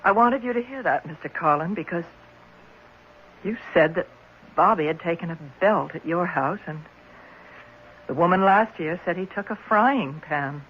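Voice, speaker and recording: A woman's voice: fairly low pitch, lively intonation, normal speed, pauses often, close to an everyday voice. A woman acts a concerned orphanage worker in a vintage radio play. She speaks politely and a little hesitantly. The recording is muffled, with faint noise behind her. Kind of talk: 1970s radio drama acting.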